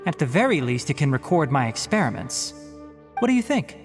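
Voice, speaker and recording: A man voicing a stern, precise but quietly caring young forest scholar in a video game. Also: a little high, very animated, a little fast, loud music behind the voice. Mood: calm, explaining. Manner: precise, dry, didactic.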